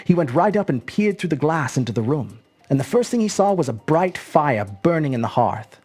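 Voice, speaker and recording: An expressive storyteller reads scripted fiction in a husky voice. The pitch moves dramatically across a very wide range, with measured phrasing over faint music. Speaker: storyteller, host. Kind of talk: scripted narration of a short story.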